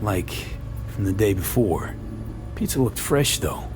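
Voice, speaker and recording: Gruff cyberpunk merc, low voice, terse and sardonic, game music behind him. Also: male voice, lively intonation, measured pace. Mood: wry, analytical. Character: gruff, wry male cyberpunk mercenary investigator. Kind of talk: video game voice acting.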